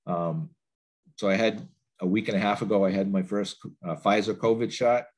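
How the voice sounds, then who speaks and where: A man's voice: on the low side, steady tone, moderate pace. A man with a bit of a cold, giving a training webinar from a home office over a laptop video call, talking in loose sentences with many fillers and restarts. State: stuffy with a cold. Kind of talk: webinar presentation.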